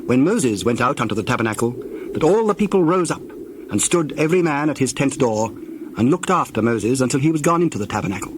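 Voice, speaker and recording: A man narrating dramatized scripture in a solemn, measured storytelling manner. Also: medium pitch, expressive. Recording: noisy background ambience.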